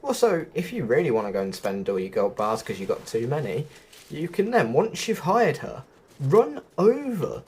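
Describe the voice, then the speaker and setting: A man's voice: a little high, darkish tone, slightly rough. A man giving chatty game quest walkthrough commentary as a host, often correcting himself as he goes. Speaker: gaming video host.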